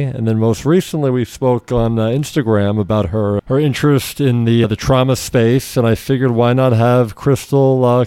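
A male podcast host with a smooth, resonant voice, recorded close and dry with no room sound. He talks casually and haltingly, stopping and restarting his sentences as he feels his way into each question. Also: mid-range voice, mellow, dry recording. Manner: halting, many restarts and fillers. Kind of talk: casual interview.

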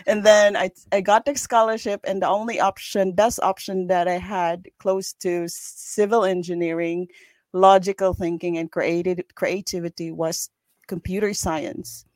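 A woman's voice: on the low side, little pitch movement, unhurried, darkish tone, no echo. A woman from the Philippines in her thirties, a developer answering interview questions in an earnest, reflective way with light laughs. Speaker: podcast guest, developer.

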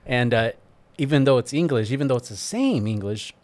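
A man from Mexico whose first language is Spanish, chatting as a podcast guest in an easygoing, halting way. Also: mid-range voice, animated, darkish tone, no room sound. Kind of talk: casual podcast chat.

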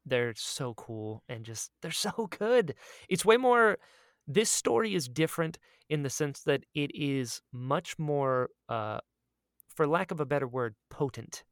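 A man co-hosting a podcast in casual chat, speaking in an excitable, emphatic way and often repeating himself for emphasis. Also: on the high side, unhurried, smooth, dry recording, studio quality. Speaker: podcast co-host and audio drama creator.

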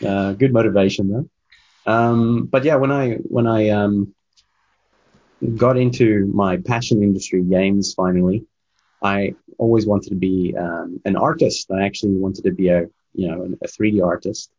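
A deep-voiced, husky man whose first language is Afrikaans tells his story at length, with plenty of ums and restarts. The recording sounds dull and lo-fi. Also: fairly even intonation, normal speed, darkish tone. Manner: rambling storyteller with many fillers. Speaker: guest, game development manager and coach. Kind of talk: casual interview, personal story.

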